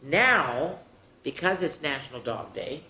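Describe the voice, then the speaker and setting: A woman's voice: low-pitched, lively intonation, unhurried, bright, forceful tone. Older woman hosting children, echoey room. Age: senior. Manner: chatty, instructive, asks the children questions. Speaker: children's story time host. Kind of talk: children's storytelling and activity talk. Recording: echoey room with faint noise.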